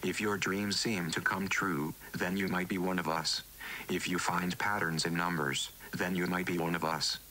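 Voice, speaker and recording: A man playing a wary, earnest underground resistance broadcaster, reading scripted analog horror narration in a deliberate, cryptic way. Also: low-pitched, fairly even intonation, pushed and bright, low-quality recording. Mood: guarded warning. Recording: faint hiss and noise.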